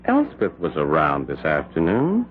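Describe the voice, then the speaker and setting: An actor playing a teasing, wheedling husband with a scheming streak, in casual radio drama style. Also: male voice, very deep voice, wildly swooping pitch, moderate pace, heavily acted. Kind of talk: 1970s radio drama acting. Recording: tinny, narrow-band old radio broadcast.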